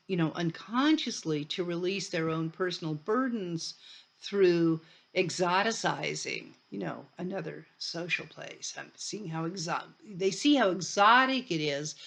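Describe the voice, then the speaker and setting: A woman's voice: on the low side, gravelly, fairly bright tone. A middle-aged woman from Ireland with an Irish accent, giving a conversational university lecture full of restarts and asides. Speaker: college lecturer.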